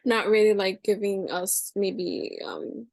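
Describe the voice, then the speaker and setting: A woman's voice: medium pitch, takes it slowly, dry recording. Rambling woman host, dry close sound. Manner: warm agreeing, rambling, many "like" fillers. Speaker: podcast host. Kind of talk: casual podcast conversation.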